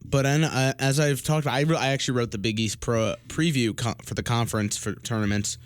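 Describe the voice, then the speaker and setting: A man's voice: medium pitch, steady tone, fairly quick. An American man chatting casually as a panelist on a sports talk radio show, close to the mic.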